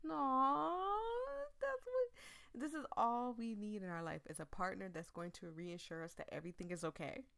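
A woman doing a casual let's-play, reading a visual novel aloud in a chatty, expressive way and breaking off into asides. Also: mid-range voice, normal speed, gravelly. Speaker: video game streamer.

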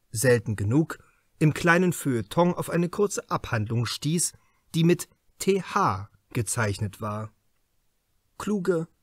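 A man's voice: medium pitch, dry recording, studio quality. A man reading a crime novel as a scripted literary audiobook narration.

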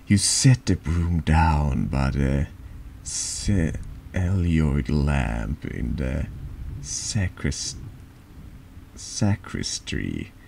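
A man with a deep voice narrating a horror text game in a deliberate, dramatic ASMR storytelling style. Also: animated, creaky, darkish tone, a little hiss or hum. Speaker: streamer reading a text adventure game aloud.

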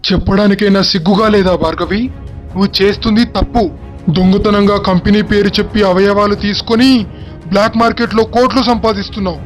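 A man's voice: sits high, steady tone, fast, soft background music, natural voice. A man playing a stern, confrontational male relative in a cartoon moral story, questioning others. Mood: indignant. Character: stern, accusing male relative exposing a family crime. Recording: dull, narrow-band voice track.

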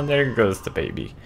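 A man in his twenties giving jokey, sweary, excitable gaming commentary into a close desk microphone in a room at home. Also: on the high side. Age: 20s. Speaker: gaming video host. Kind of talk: casual let's play commentary. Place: small home room, indoors. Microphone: close desk microphone with pop filter. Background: game music.

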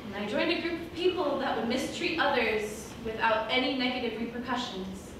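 Actress as a distant, echoey, dramatic villainess. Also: female voice, a little high, a little fast, natural voice. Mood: wounded, confessional. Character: self-justifying female villain who disowned her Mexican heritage. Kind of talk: theatrical monologue in a comic stage musical. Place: small indoor theatre stage, far camera. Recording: echoey stage from far off, audience noise.

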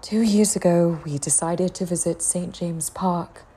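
A woman acting a young, genteel, desperate widow in a gothic audio drama, speaking formally and theatrically with a breathy voice. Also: on the low side, fairly even intonation, a little slow, dark tone, slight background noise, lightly acted. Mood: wistful.

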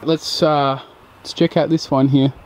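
A man's voice: fairly high pitch, little pitch movement, a little slow, darkish tone. A young man, giving a casual, jokey walkthrough while exploring old trains, recorded on a handheld camera outdoors and in a locomotive cab. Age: young adult. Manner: chatty, jokey, running play-by-play. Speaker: urban explorer vlogger. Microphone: handheld action camera. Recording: noisy outdoor camera audio.

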